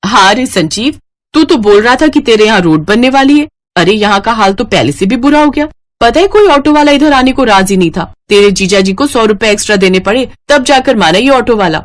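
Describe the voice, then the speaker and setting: A woman's voice: a little high, animated, fairly quick, lightly acted. A woman playing a demanding, blunt elder sister who complains, in a scripted moral story. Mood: complaining. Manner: blunt, entitled, grumbling. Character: demanding, complaining married older sister visiting her brother.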